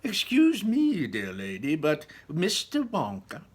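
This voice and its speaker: An eccentric, proud chocolate factory owner from a children's storybook, acted out by a man reading aloud. The voice is pushed high and swoops animatedly. It is theatrical and emphatic, with a rough, husky edge. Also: wildly swooping pitch, slow pace, exaggerated voice. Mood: proud, indignant. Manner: exclaiming, dramatic, emphatic storytelling.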